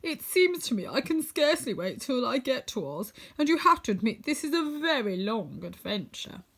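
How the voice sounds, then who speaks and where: Woman as impatient storybook man of tin, complaining, fairly high and lively. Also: normal speed, exaggerated voice. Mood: plaintive. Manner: complaining, plaintive. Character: impatient male tin man longing for a heart.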